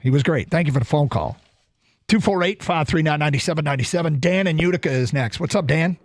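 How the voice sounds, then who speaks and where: A man's voice: a little high, brisk, dry recording. A man hosting a sports talk radio show, chatting casually and taking calls. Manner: conversational, takes calls. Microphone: studio microphone.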